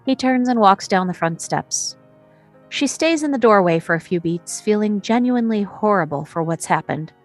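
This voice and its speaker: A woman reading scripted stage directions as the narrator of a screenplay table read. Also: medium pitch.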